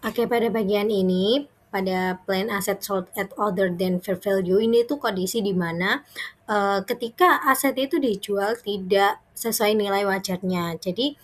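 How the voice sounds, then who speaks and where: Young woman explaining, mid-pitched voice. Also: unhurried. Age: young adult. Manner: conversational, step-by-step explaining. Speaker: student presenter. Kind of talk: informal explanatory presentation.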